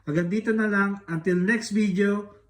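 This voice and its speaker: A man's voice: high voice, steady tone, normal speed. A Filipino man talking casually in a laid-back way as a collector doing an unboxing vlog, switching between two languages. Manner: laid-back, mixes Tagalog and English. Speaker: music collector vlogger.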